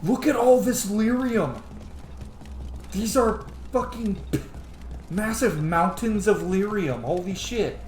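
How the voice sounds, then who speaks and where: A man gives casual, sweary gameplay commentary with animated pitch. The sound is echoey, with game music faintly behind him. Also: takes it slowly. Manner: sweary, reacting aloud. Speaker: video game streamer.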